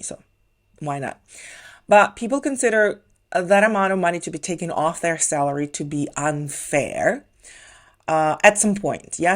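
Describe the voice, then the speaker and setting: A woman from Ukraine speaks English with a Ukrainian accent in a low voice. She gives casual, chatty advice in a vlog and explains things step by step, with the odd "uh" and "um" along the way. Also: darkish tone. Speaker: English teacher vlogger.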